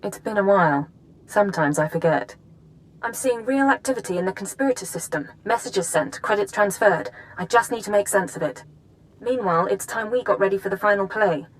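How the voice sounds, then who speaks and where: An actress playing a businesslike intelligence handler in a spy game, briefing in a measured, precise, familiar way. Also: female voice, medium pitch, lightly acted, low-quality recording. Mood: relieved, quiet. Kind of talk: video game drama acting.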